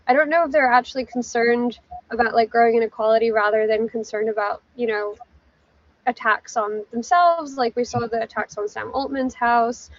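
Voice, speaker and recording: A woman's voice: on the high side, steady tone, moderate pace, dry recording. A woman giving casual, conversational news analysis as a journalist guest in an interview. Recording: muffled, distant from the mic.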